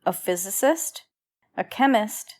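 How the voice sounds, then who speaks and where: A woman narrates an old-time radio drama with a scripted, dramatic delivery. Her voice is medium in pitch, telling the story as a narrator. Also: animated, slow.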